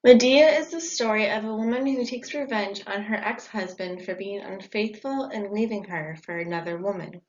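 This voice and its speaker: A woman's voice: mid-range voice, normal speed, hardly any pauses, darkish tone. A young woman presenting a school report in a plain, read-aloud manner. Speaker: student presenter. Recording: dull lo-fi audio.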